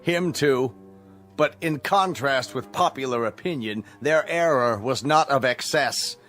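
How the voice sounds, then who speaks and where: A man's voice: mid-range voice, measured pace, fairly bright tone, soft background music, natural voice. Coldly smug, menacing state chancellor played by a man. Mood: smug, doctrinaire. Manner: formal, condescending, mockingly polite, menacing. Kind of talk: radio drama acting.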